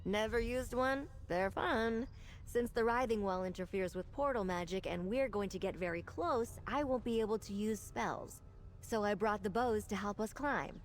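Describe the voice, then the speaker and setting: A woman's voice: mid-range voice, normal speed, slight background noise, natural voice. A woman voicing a dry, practical mage companion. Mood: matter-of-fact. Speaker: game character. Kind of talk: video game dialogue.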